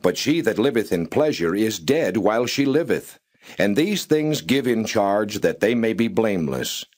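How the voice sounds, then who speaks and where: A man narrating scripture in a measured, solemn and formal reading style. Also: fairly low pitch, very animated, darkish tone. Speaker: Bible narrator.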